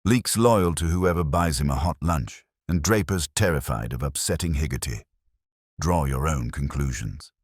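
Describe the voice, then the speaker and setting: Man reading as a blunt councillor woman, deep, matter-of-fact, sceptical, dry sound, small-town mystery story. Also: moderate pace, slightly rough, no room sound. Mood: scornful.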